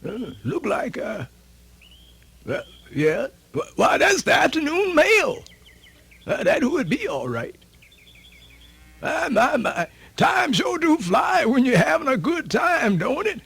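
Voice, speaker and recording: Creaky old country storyteller. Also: male voice, very high-pitched, wildly swooping pitch, fairly bright tone, heavily acted. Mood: folksy, musing. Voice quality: creaky, gravelly. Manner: stammering, muttering, drawn-out rustic talk. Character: folksy, cheerful old male master storyteller from the country. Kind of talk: radio theatre character acting.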